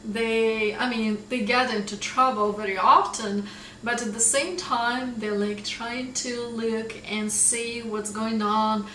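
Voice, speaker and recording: A woman with a Russian accent talks through English vocabulary at an easy pace, often checking in with "right?". Her voice sits a little high. She sounds set back from the mic in a roomy space with faint noise behind her. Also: fairly even intonation, pushed and bright, distant mic. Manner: explains slowly, tags lines with "right?". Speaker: English teacher. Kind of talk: casual vocabulary lesson.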